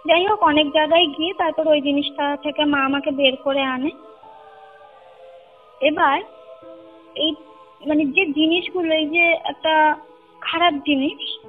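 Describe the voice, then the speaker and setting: A young woman with a very high voice recounts a personal experience in Kolkata Bengali. Her telling is conversational and emphatic, with repeated points and little checks on the listener. She comes through a narrow, tinny phone line with music playing underneath. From Kolkata, India. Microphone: phone call. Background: clear music bed.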